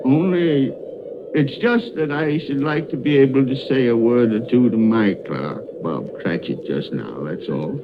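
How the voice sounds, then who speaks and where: An actor playing an anguished old miser, pleading and halting in theatrical 1930s radio style. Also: male voice, mid-range voice, lively intonation. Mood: meek, evasive. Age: older. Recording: muffled, noisy 1930s radio broadcast.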